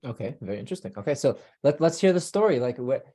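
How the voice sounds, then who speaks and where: A man's voice: medium pitch, very animated, quick pace, mellow. A man hosting a podcast, chatty and upbeat, who often restarts his sentences. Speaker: podcast host. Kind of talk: podcast interview.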